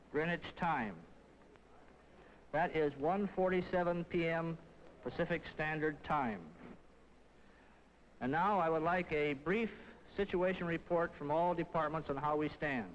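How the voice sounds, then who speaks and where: A man with an American accent chairs a formal briefing as a civil defense official, speaking in scripted sentences. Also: on the high side. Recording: dull, slightly noisy 1950s film sound.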